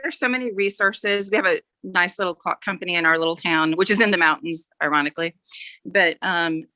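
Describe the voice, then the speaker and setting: A woman's voice: lowish voice, brisk, bright, forceful tone, no room sound. A mature woman chatting casually as an author guest, rambling a little and correcting herself as she goes. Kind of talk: casual interview chat.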